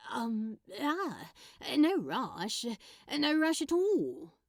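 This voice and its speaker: A woman voicing a flustered, smitten man with a sarcastic streak and a halting, drawling delivery in a fan fiction reading. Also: sits high, wildly swooping pitch, no room sound, studio quality, voice pushed up, heavily acted. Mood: flustered, excited. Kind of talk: fan fiction audiobook dialogue.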